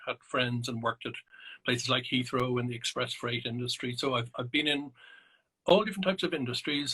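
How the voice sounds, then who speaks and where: A middle-aged Irish man, a psychotherapist and naturalist, answering interview questions thoughtfully over a video call, with many pauses and restarts. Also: mid-range voice, pushed and bright, breathy edge, rough recording. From Ireland.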